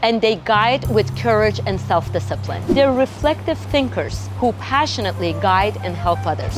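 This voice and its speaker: A woman hosting an interview, effusive and earnest, full of admiration for her guest. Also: mid-range voice. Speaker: interview host.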